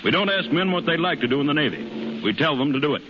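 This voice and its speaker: An actor in a 1930s radio drama playing a stern, curt naval superior officer. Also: male voice, on the high side, lively intonation, quick pace, lightly acted. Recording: muffled, noisy 1930s radio broadcast.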